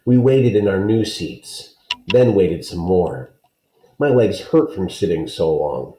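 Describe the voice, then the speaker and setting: A man reads aloud to children in a low, mellow voice. His delivery is plain and explanatory, and the room gives it a noticeable echo. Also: lively intonation, takes it slowly. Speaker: read-aloud storyteller. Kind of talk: children's story and author's note read aloud, explanatory asides. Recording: roomy, echoing sound.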